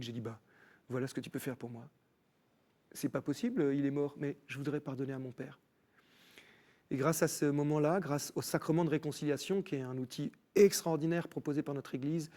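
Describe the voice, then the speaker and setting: A man with a slightly high voice tells his faith story as a studio guest. He slips into the voices of people he quotes. His delivery is animated. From France. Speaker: guest, musician. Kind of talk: personal faith testimony. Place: TV studio set.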